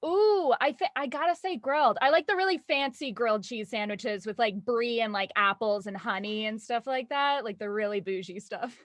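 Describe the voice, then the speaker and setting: Female actor, high bright-eyed chatter, enthusiastic, casual, dry recording. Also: moderate pace, few pauses, no room sound. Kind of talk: casual self-introduction.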